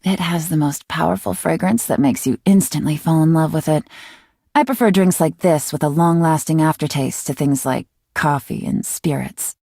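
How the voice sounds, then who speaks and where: A sly, mellow-voiced secret agent. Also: female voice, low voice, normal speed, creaky, husky, no room sound, clean studio sound, exaggerated voice. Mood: relaxed, musing. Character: mysterious, calm, calculating female intelligence agent, teasing and self-assured. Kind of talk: scripted video game character voice lines.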